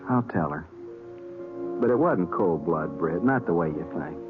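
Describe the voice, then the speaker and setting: A man's voice: low-pitched, expressive, a little slow. An actor playing a bitter, defensive young condemned man in a 1950s radio western, with rustic, folksy speech. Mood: resigned. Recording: muffled old radio recording, faint music.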